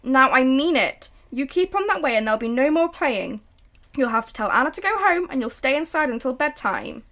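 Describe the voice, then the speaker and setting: A woman's voice: high voice, big pitch swings, a little fast, heavily acted. A woman playing a chatty, fussing mother in a radio drama. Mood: stern. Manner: chatty and fussing, sharp when scolding. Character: fond, flustered suburban mother. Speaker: actor in a student radio drama.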